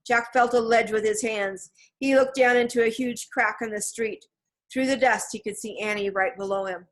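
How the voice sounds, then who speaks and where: A woman reading scripted narration from a children's novel in a measured, expressive storytelling manner. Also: fairly high pitch. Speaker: storybook reader.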